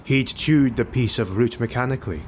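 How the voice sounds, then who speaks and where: A man's voice: mid-range voice, moderate pace, darkish tone. A man narrating a fantasy audiobook in a dramatic, scripted storytelling style. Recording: noisy home recording.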